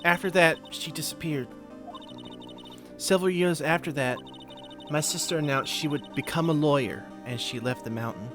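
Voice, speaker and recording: Man voicing a high-pitched forlorn young woman medium. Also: moderate pace, over music, close to an everyday voice. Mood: earnest, wistful. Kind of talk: amateur video game voice-over.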